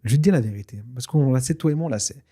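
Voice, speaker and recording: A man whose first language is Tashelhit, telling a personal story in a casual, lively way with many fillers and restarts. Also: medium pitch, very fast, husky. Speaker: guest telling his story. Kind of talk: casual spoken testimony.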